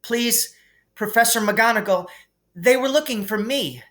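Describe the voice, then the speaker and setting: A man's voice: very high voice, measured pace, pushed and bright, voice pushed up, exaggerated voice. A man voicing an anxious, bookish young schoolgirl in a storybook, explaining earnestly and a little hurriedly. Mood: timid confessing.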